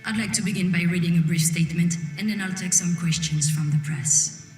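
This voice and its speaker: A woman's voice: on the low side, brisk, close to an everyday voice. A woman playing a formal, polished corporate spokeswoman reading a statement in an audio drama, in a reverberant hall. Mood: formal, composed. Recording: echoey hall reverb, faint music.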